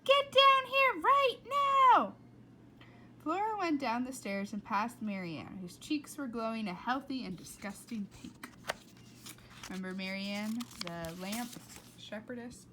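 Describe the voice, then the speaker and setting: Woman doing a storytime read aloud, super animated and playful, bit of background noise. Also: medium pitch, measured pace. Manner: dramatic, playful delivery with whispers and asides. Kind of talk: expressive children's book narration.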